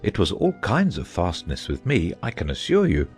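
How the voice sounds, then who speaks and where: A man playing a gentle, dotty old knight, earnest and rambling, in a dramatized audiobook. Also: low-pitched, wildly swooping pitch, normal speed, faint music behind. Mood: absorbed, self-assured. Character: gentle, dotty old male knight, solemn muddled inventor.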